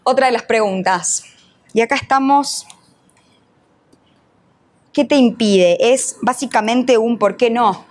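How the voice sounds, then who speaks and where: Mujer argentina dando un taller. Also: female voice, on the high side, slow pace, pauses often, darkish tone. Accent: Argentine Spanish. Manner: questions the audience, uses voseo, teacherly. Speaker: NLP trainer and coach. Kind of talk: live workshop talk.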